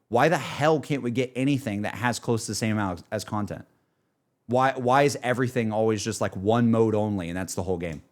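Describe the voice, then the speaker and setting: A young adult man chatting casually on a livestream, rambling enthusiastically about gaming in a self-deprecating way. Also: medium pitch. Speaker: streamer.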